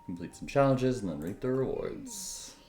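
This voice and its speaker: A man's voice: mid-range voice, huge pitch swings, normal speed. A man doing casual game playthrough commentary and reading dialogue choices aloud. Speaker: game streamer. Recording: echoey room with game music behind. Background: video game music.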